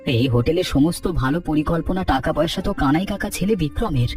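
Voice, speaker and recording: Man playing a humble poor villager, fairly high voice. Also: animated, a little fast, darkish tone, lightly acted. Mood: humble, grateful. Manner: earnest, deferential. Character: humble, grateful poor rickshaw puller and husband. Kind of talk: dubbed cartoon dialogue. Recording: distant-sounding, music underneath.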